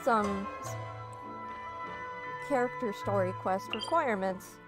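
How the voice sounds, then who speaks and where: A woman's voice: mid-range voice, takes it slowly, loud music behind the voice. A woman game streamer giving casual live commentary as she plays.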